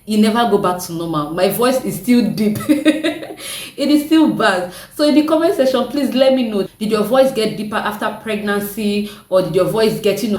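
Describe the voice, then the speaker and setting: A young woman with a deep voice, chatting casually to her viewers and laughing often. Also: a little mellow, recorded from a distance. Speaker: vlogger. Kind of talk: casual chat to camera. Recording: echoey room, mic set back.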